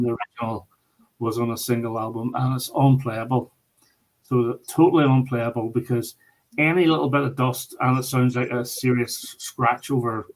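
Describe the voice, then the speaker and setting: A man's voice: medium pitch, poor audio. A man in a casual chat about record collecting, speaking in an opinionated, emphatic way.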